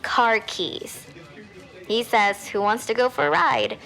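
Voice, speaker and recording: A creaky-voiced teenage girl. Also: on the high side, slow, fairly bright tone, faint music behind, heavily acted. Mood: candid, casual. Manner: casual, candid, youthful. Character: chatty teenage high school girl giving testimony. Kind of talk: dramatised recorded testimony, casual storytelling.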